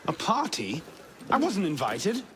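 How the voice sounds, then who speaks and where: Wry, lively young French rogue. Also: male voice, high voice, measured pace, slight background noise, heavily acted. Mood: breezy. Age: young adult. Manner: wry, flippant. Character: cheeky, charming young Frenchman, smooth-talking rogue. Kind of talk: video game cutscene acting. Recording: orchestral music behind the voice.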